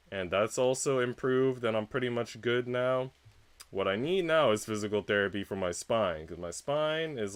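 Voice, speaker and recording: A man's voice: lowish voice, clean tone, dry recording. A man livestreaming, chatting casually with viewers in a rambling, slangy way with casual swearing. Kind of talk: casual chat with stream viewers.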